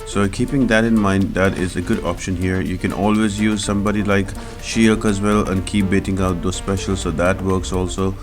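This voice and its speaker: A man's voice: low-pitched, little pitch movement, normal speed, few pauses. A man giving an explanatory, instructional game walkthrough as the host of a gaming video. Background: loud music behind the voice.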